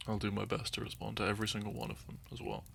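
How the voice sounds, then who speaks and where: A man's voice: on the low side, little pitch movement, racing, gravelly, fairly bright tone, slight background noise. A young man talking to his listeners in a meandering, unscripted ramble. He laughs often, loses his thread, and sips wine as he goes. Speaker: audio content creator.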